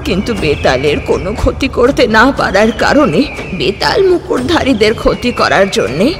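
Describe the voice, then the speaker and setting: Stern old village sorceress, voiced by a woman. Animated delivery, music bed under her. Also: mid-range voice, normal speed, lightly acted. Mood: storytelling. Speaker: healer of the story. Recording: with loud background music.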